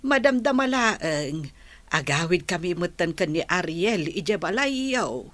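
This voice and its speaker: A woman with an Ilocano accent playing an elderly, guarded grandmother in a radio drama, talking haltingly. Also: fairly low pitch, expressive, a bit bright, dry recording. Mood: guarded unease.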